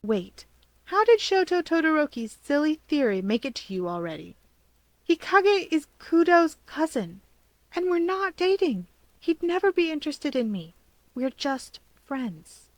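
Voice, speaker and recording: Woman acting bashful young man, high-pitched, very animated, lovestruck little brother, audiobook character. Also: unhurried, no room sound, rough recording. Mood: bashful, flustered. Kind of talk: fan fiction audiobook character voice.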